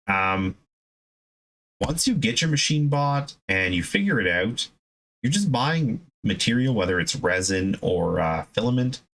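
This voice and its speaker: Low-voiced man explaining casually. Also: sing-song, a little slow, a bit bright, rough recording. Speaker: hobby video host.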